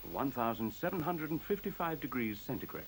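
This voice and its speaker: A man's voice: mid-range voice, very animated, a little fast, poor audio. A man acting in a radio play as an urbane, mischievous intelligent computer, speaking formally and articulately in a teasing way. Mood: composed, assured. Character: witty, urbane male talking intelligent computer. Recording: faint hiss on radio play recording.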